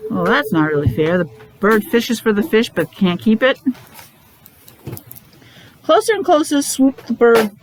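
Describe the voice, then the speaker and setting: Higher-pitched woman reading to children. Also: very animated, unhurried, pauses often, slight background noise, low-quality recording. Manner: child-directed, asking questions, repeating words for emphasis. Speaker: teacher reading a picture book to children. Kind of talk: animated read-aloud with casual explanatory asides.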